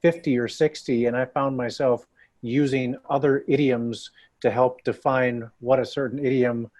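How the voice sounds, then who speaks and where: A man speaks at a middle pitch in a relaxed, wry way during a group discussion. The sound is dry, with no room echo. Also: normal speed.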